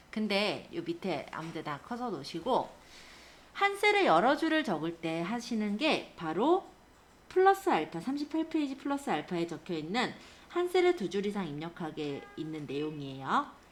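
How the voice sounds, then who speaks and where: A woman's voice: mid-range voice, fairly bright tone, a little hiss or hum. A woman instructor giving a step-by-step spreadsheet lesson, explaining and often checking in with short tag questions. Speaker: computer skills instructor.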